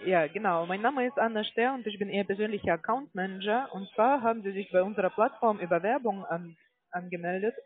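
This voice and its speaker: A woman account manager giving a phone sales pitch in a hesitant, stumbling way. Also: brisk. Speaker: cold-calling account manager. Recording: narrow tinny phone line.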